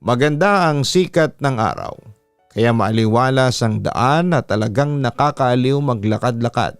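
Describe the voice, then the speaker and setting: Male Filipino radio-style narrator for a story read. Crisp, resonant, measured and dramatic, close-miked over faint music. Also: medium pitch, lively intonation, dry recording. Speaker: radio announcer hosting a horror story show, reading listeners' letters. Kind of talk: scripted first-person story narration. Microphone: close studio microphone. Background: soft background music.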